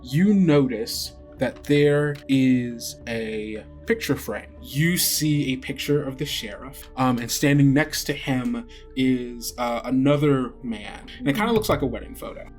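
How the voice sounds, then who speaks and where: Male game master, mid-pitched, slow-paced conversational scene narration with light music beneath. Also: animated, darkish tone.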